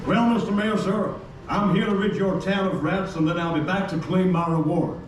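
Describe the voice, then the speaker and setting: A man's voice: high voice, fairly even intonation, normal speed, natural voice. A man playing a polite, showy young rock-and-roll musician puppet in a children's theatre show. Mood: polite, confident. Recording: echoey theatre from far off, noisy.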